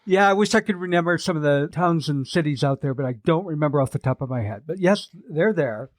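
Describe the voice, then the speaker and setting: A man's voice: fairly high pitch, lively intonation, fast, no room sound. A man co-hosting a podcast, chatting in a wry, self-deprecating way and telling stories casually. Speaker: podcast co-host.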